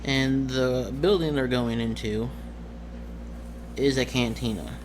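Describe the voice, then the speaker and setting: A man's voice: mid-range voice, slow. Man narrating a tabletop game, noisy recording. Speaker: game master.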